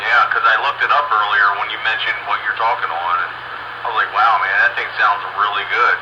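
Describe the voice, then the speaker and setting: A man's voice: on the low side, steady tone, brisk. A man chatting casually as an operator on a muffled, noisy CB radio.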